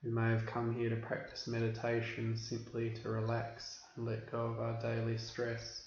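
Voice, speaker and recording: Male meditation teacher, very monotone delivery, measured and instructional, captured in an echoey space. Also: medium pitch. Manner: measured, instructional, with deliberate pauses. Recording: roomy, dull recording with background hiss.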